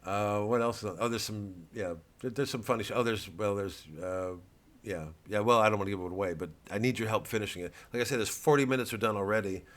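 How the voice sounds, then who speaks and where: A man's voice: on the low side, quick pace, a bit bright. Rambling, sardonic middle-aged man. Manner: hesitant, sardonic and profane, full of filler and restarts. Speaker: comedian. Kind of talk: rambling promotional monologue.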